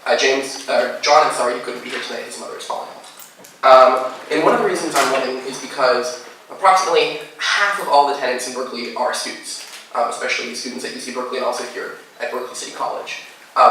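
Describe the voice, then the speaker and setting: A man's voice: mid-range voice, quick pace, pushed and bright, a little hiss or hum. A young man in his twenties, a candidate, congested from a cold and talking with many fillers. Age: 20s. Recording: echoey hall, voice from far off.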